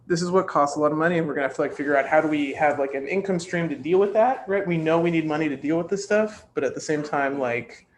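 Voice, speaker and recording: A man's voice: a little high, fast talker, hardly any pauses, a little hiss or hum. A man hosting a casual group discussion, thoughtfully posing open questions to the others. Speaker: podcast discussion host.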